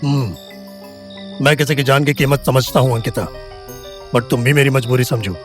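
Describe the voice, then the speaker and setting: A man playing a smooth, pushy, self-important doctor in an audio drama, with an Indian accent. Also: medium pitch, moderate pace, soft background music, lightly acted. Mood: smooth, insistent. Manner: persuasive, self-important, mixes Hindi with English. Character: smooth, pushy male doctor, outwardly kind.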